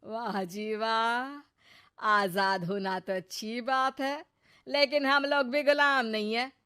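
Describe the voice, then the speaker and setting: A domineering middle-aged mother-in-law from a cartoon , played by a woman, scolding and sarcastic. Her voice sits fairly high and is recorded close and dry. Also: steady tone, slow pace, bright, forceful tone, clear, resonant tone, dry recording. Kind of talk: Hindi cartoon story acting.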